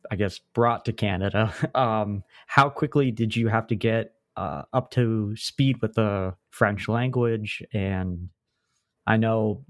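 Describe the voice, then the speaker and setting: A man from New England hosting an interview podcast, asking questions and giving quick affirmations in a conversational way. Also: on the low side, no echo, studio quality. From New England, USA. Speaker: podcast host. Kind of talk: casual interview.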